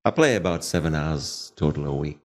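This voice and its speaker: A deep-voiced older man from Malaysia answers interview questions at an easy, unhurried pace. He talks plainly and sometimes stumbles over a repeated word as he begins a new thought. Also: lively intonation, slight background noise. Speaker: guest. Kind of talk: relaxed storytelling in conversation.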